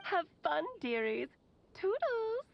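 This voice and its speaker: A woman's voice: extremely high pitch, sing-song, slow pace, vocal fry, a little hiss or hum, full character voice. A woman voicing a playful, breezy witch with a cheery, theatrical, doting manner, on a muffled recorded message in a video game. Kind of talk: video game character acting.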